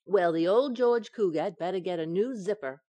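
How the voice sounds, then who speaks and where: A woman playing a teasing, quick-witted housewife in an old-time radio sitcom, with wry comic timing. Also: fairly low pitch, dry recording, natural voice. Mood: bright, teasing. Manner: wry, sharp comic retorts.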